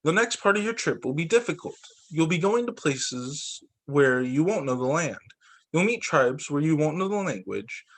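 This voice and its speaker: An earnest, caring chief and brother from a children's storybook, read out by a man. The voice is slightly high and very varied in pitch. The questions come measured and concerned. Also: very animated, fairly bright tone, heavily acted. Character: Shoshone chief, earnest concerned brother, picture-book character.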